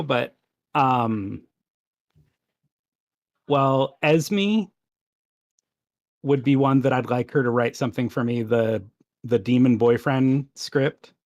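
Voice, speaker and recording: A man's voice: mid-range voice, gravelly, dry recording. A man giving thoughtful, slightly hesitant answers in a casual chat as a podcast guest.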